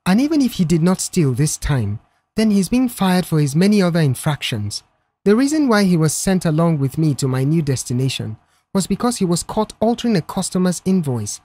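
A woman's voice: fairly low pitch, very animated, moderate pace, warm tone, slightly rough. Woman voicing a reserved young male mechanic. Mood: firm.

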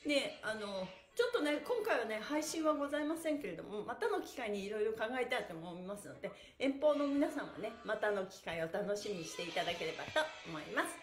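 A woman's voice: medium pitch, brisk, hardly any pauses, faint music behind. A middle-aged woman leading a livestreamed stretch class in a cheerful, giggly, encouraging way, with plenty of energy. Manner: energetic, chanting counts and slogans. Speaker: stretch class host and pantomime performer. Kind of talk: livestreamed exercise instruction with chat to viewers. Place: small bare-walled indoor room. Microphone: phone or camera a short distance away. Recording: echoey room, distant camera mic.

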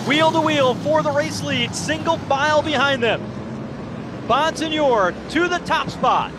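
A man calling live play-by-play for a stock car race, with excited, rapid race calling. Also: very high voice. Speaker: race commentator. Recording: loud race-car noise underneath. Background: roaring race engines.

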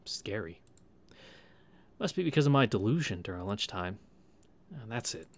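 A man casually reading a visual novel aloud as a streamer, with halting, wry asides. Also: lowish voice, sing-song. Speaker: let's play streamer. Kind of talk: casual read-through of a visual novel with commentary. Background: game music.

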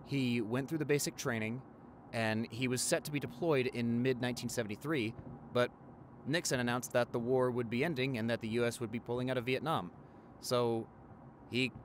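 A man's voice: mid-range voice, a bit bright, a little hiss or hum, natural voice. A man playing an affectionate doctor boyfriend in his forties, talking casually and a little hesitantly in an intimate audio roleplay. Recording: car ride ambience behind the voice.

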